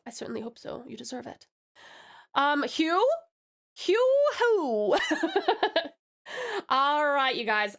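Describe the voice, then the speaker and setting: A woman's voice: a little high, a bit bright. A woman hosting a chatty livestream in a put-on, exaggerated California Valley Girl accent, gushing and giggly with sugary pet names for viewers. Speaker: livestream host.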